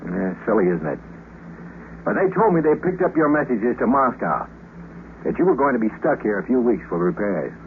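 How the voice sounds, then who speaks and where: Thin noisy vintage radio, American astronaut, lively pitch, brash, wry, slangy, good-hearted. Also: male voice, normal speed, narrow, tinny audio. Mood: wry, friendly. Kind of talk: 1950s radio drama acting.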